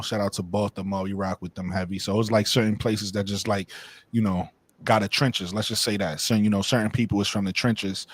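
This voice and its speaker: A man's voice: fairly low pitch, no echo. A man with a New York accent hosting a casual group chat, laid-back and slangy, often repeating his words. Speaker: podcast host.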